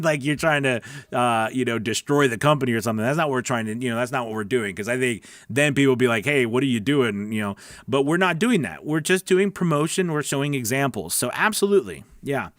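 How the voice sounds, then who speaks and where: A man's voice: mid-range voice, lively intonation, fast talker, few pauses, fairly bright tone, no echo. Dry-sounding man hosting a casual livestream. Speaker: host of a voice-over training livestream. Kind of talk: casual livestream chat, answering viewer comments.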